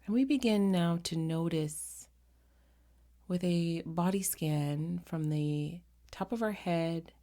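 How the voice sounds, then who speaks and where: Slow, low-voiced woman guiding meditation. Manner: gentle, unhurried, pausing often between short phrases. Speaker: meditation guide. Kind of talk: guided meditation.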